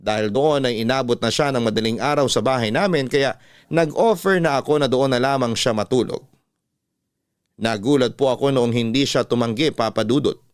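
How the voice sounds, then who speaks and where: A man's voice: medium pitch, moderate pace, smooth, dry recording. Dry, close-up male radio storyteller. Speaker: radio drama host reading a listener's letter. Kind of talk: scripted narration in classic radio drama style.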